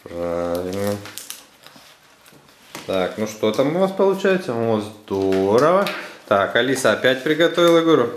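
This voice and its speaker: A man's voice: medium pitch, big pitch swings, normal speed, long pauses, slight background noise. A man, a father chatting casually with children, giving instructions and prompting them with questions. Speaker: father guiding his children through a craft. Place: living room at home. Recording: roomy indoor home recording.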